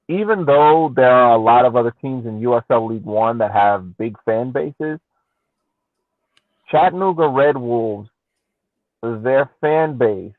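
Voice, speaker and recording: A man's voice: mid-range voice, no room sound. Man on a thin, phone-like line. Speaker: podcast co-host and soccer fan. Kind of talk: casual post-game sports analysis.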